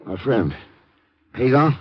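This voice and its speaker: An actor playing a cool, quick-witted American intelligence agent in a 1950s radio drama. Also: male voice, mid-range voice, very animated, breathy edge, exaggerated voice. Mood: groggy, questioning. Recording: muffled, hissy vintage radio broadcast.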